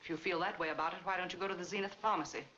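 Brisk, sharp-voiced stern housekeeper. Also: female voice, fairly low pitch, fairly even intonation. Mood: defiant. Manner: firm, pointed. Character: stern, loyal, defensive female housekeeper. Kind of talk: 1940s film acting. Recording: muffled, hissy 1940s film soundtrack.

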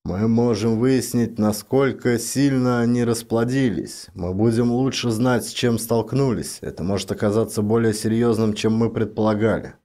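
Guy reading a serious careful scientist in a sci-fi audiobook, lowish dark voice, grim and measured. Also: fairly even intonation, close to an everyday voice. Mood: grave. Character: grim, cautious male scientist on space expedition. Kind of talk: audiobook drama character voice.

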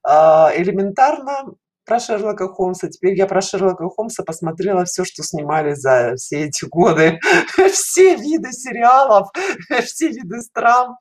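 A woman's voice: medium pitch, lively intonation, measured pace. A middle-aged woman chatting casually as a guest on an online show, with a self-ironic, giggly manner. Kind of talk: casual conversational interview. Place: indoor room, on a video call. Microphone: headset.

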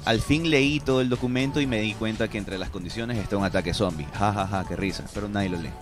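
A man's voice: lowish voice, fast, over music. A man in his thirties explaining things with examples as an expert guest in a radio studio interview. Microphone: studio broadcast microphone.

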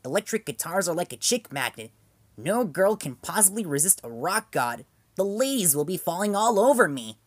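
A man's voice: high voice, sing-song, moderate pace, dry recording. A man voicing a cocky teenage boy who brags about being a ladies' man. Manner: boastful, brash.

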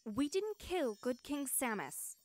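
A woman performs a captive witch mother in a fantasy audio drama. Her high voice swings widely in pitch, earnest and pleading yet resolute. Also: very animated, slow, darkish tone, dry recording, full character voice. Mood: indignant. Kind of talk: fantasy audio roleplay acting.

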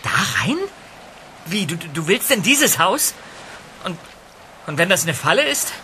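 An actor playing an easily spooked young detective in a German audio drama, hesitant and nervous. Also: male voice, high voice, big pitch swings, measured pace, sharp tone, slight background noise, exaggerated voice.

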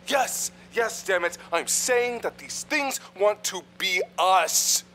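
A man's voice: high voice, animated, takes it slowly, music in the background. A man playing a weary, fearful scientist in an analog horror audio log with noisy sound. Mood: frantic alarm. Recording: noisy clicking tape-log recording.

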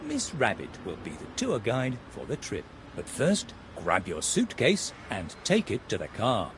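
A man's voice: fairly low pitch, wildly swooping pitch, measured pace, over loud music. A man playing a friendly storybook narrator who guides the player in a children's cartoon game.

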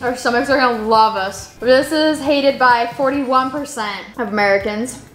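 A woman's voice: fairly high pitch, moderate pace, fairly bright tone. A bubbly young woman co-hosting a casual food taste test at a home kitchen counter, leading each round. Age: young adult. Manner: bubbly, leads the segments. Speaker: co-host. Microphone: camera mic, seated at counter. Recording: echoey room, faint music.

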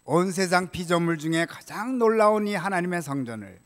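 An older man preaching a prepared sermon as a pastor, in a measured, solemn way, from a church pulpit microphone. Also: high voice, lively intonation. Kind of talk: sermon, read from prepared text. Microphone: gooseneck pulpit microphone. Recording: reverberant church hall sound.

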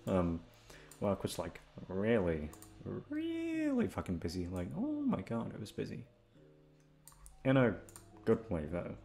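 A man's voice: lowish voice, huge pitch swings, measured pace, long pauses, a little mellow, faint music behind. A man who makes audio roleplays, chatting casually to his listeners in a rambling, self-deprecating, sweary way.

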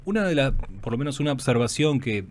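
A man's voice: medium pitch, animated, fast talker. A man with an Argentine accent hosting a conversational radio interview, phrasing questions tentatively. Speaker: radio host interviewing a guest.

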